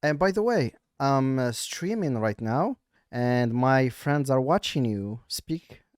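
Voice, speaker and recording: A man with a Moroccan accent, live-streaming a casual, teasing chat, halting now and then with fillers. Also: medium pitch, lively intonation, a little mellow, smooth, dry recording, clean studio sound.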